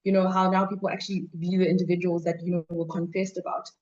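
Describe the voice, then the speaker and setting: A woman's voice: fairly low pitch, little pitch movement, quick pace, a little mellow, dull audio. A young woman student, speaking on a panel in a hesitant way with many fillers. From Zimbabwe, raised in South Africa. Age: young adult. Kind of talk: panel remarks.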